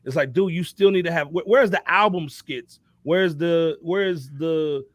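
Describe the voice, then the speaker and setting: A man co-hosting a hip hop podcast in loose, animated casual talk, often tagging on "man" and "you know what I'm saying". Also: a little high, a little slow, no room sound. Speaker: podcast co-host.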